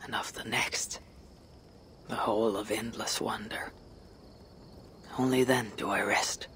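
Brooding, steadfast Viking warrior. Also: male voice, fairly low pitch, fairly even intonation, a bit bright, whispered. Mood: solemn, reflective. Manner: measured, brooding, resolute. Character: solemn, steadfast Viking warrior leader, adult man. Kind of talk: dramatic video game cutscene acting.

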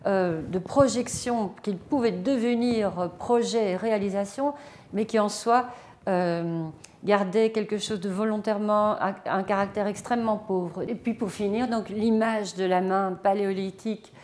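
A woman's voice: medium pitch, fairly even intonation, normal speed, a little mellow. Hesitant woman in a reverberant gallery. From Belgium. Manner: reflective, hesitant with many fillers and restarts. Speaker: artist touring her exhibition. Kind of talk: spontaneous gallery walkthrough. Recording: reverberant gallery room, faint noise.

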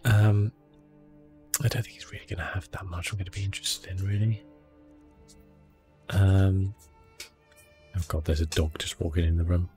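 A man giving relaxed ASMR commentary on a game, understated and hesitant as he thinks aloud close to the microphone. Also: deep voice, moderate pace, long pauses, soft background music. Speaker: gaming video host.

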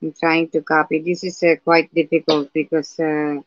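A woman with a husky voice hosting a live painting tutorial, talking slowly and hesitantly with many fillers and restarts. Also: low-pitched, level tone, dry recording. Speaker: art livestream host. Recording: dull lo-fi livestream audio.

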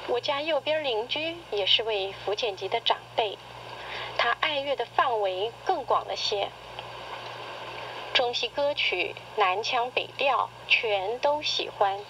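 A middle-aged woman reading a personal essay in radio drama style, with measured, literary, expressive delivery. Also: fairly high pitch, muffled recording. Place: played back from a cassette recorder speaker. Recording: noisy cassette tape played through a recorder.

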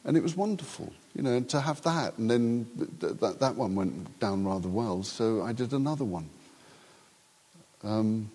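A man's voice: fairly low pitch, lively intonation, darkish tone, breathy edge, long reverb tail. An older male actor whose first language is Urdu, talking reflectively in a live stage interview and often restarting his sentences. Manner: reflective, halting with many restarts. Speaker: actor guest.

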